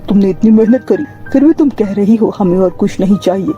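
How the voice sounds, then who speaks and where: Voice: mid-range voice, a little fast, warm tone, lo-fi sound, faint music behind, natural voice. A performer voicing an appreciative male company owner in a cartoon story, earnest and formal. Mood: pleased, generous.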